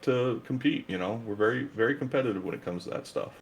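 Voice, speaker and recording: A man's voice: mid-range voice, fast, slightly rough. A man guest in a casual interview, talking earnestly and gratefully about his gaming group.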